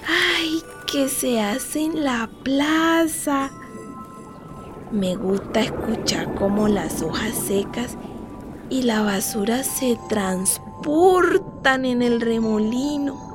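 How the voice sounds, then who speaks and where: Radio play: wistful eight-year-old girl, childlike and playful, high voice, music clearly underneath. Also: drawn-out words. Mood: playful, dreamy. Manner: childlike, simple and repetitive. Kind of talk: radio drama monologue. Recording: music behind the voice.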